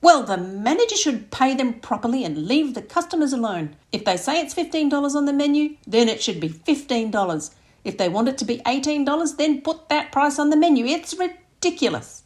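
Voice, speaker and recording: A woman's voice: sits high, lively intonation, a little fast, darkish tone, voice pushed up. A woman voicing a blunt middle-aged Australian mother in an audiobook, indignant and emphatic as she complains. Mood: outraged.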